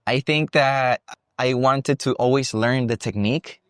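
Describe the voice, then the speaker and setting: A young man from Costa Rica with a Costa Rican accent, talking about his dance career in a relaxed, thoughtful interview. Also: mid-range voice, unhurried, clean tone, no echo, clean studio sound. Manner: relaxed, thoughtful, with frequent restarts. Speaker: guest, salsa dancer and teacher. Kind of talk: casual interview. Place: salsa congress venue.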